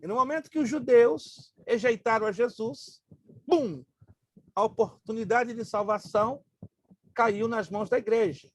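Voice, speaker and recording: Man, native Hebrew speaker, pastor teaching Bible class, high voice, husky, emphatic. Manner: emphatic, drills grammar terms slowly, joking asides.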